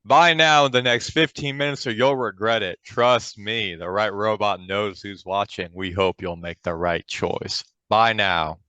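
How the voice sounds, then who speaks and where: Shady infomercial pitchman, played by a man: forceful, lowish voice, hard sell, close and dry, for a comedy radio parody. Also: steady tone, clean tone, no echo. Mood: pushy. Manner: pushy salesman patter, rushed disclaimers. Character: pushy fast-talking shady male robot-company infomercial pitchman.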